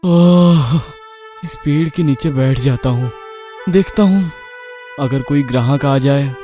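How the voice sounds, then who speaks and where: A man playing a lazy, sly, henpecked village husband in a children's cartoon story, with exaggerated, drawn-out exclamations. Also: medium pitch, mellow, faint music behind, natural voice. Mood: dejected.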